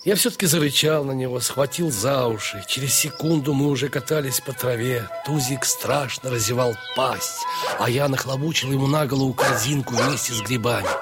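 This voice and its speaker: An actor playing a playful, warm-hearted dog owner who tells his own story in a theatrical, expressive audio dramatization. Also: medium pitch, a little fast, over music, close to an everyday voice. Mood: wistful. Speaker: narrator and dog's owner in a story. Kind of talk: dramatized first-person story narration.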